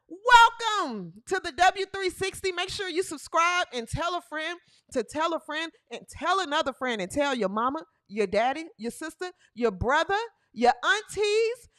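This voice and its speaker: A woman in her thirties hosting a live sports talk stream, animated and playful, with bursts of shouting. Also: very high voice. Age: 30s. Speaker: podcast host.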